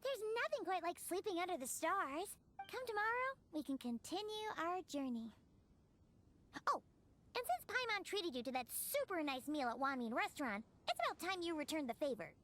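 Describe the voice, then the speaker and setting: A woman's voice: extremely high pitch, big pitch swings, normal speed, fairly bright tone, exaggerated voice. A woman voicing a tiny, childlike fairy companion in a video game, cheerful, devoted and chatty, with a bubbly, earnest manner. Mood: bubbly, friendly. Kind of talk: video game dialogue, speaking of self in third person.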